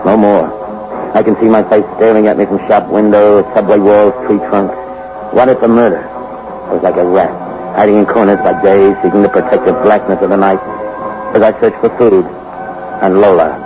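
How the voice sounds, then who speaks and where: A man's voice: lowish voice, steady tone, normal speed, close to an everyday voice. An actor playing a desperate young crook in his twenties, narrating in a tense, hardboiled way in a 1940s radio drama. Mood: brooding. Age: 20s. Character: desperate young male crook turned killer. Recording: dull old radio recording with music.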